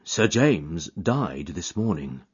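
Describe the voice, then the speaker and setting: A man reading an audiobook voices a solemn, formal butler who speaks slowly and gravely. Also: deep voice, very animated, a little mellow, full character voice. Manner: slow, grave. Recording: dull lo-fi audiobook recording.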